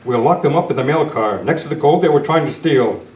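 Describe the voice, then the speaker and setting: A man playing a calm, commanding, courteous masked western hero in an amateur radio play. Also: a little high, fairly quick, dull audio, background noise, close to an everyday voice. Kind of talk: amateur old-time radio western re-enactment. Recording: echoey meeting room, distant mic.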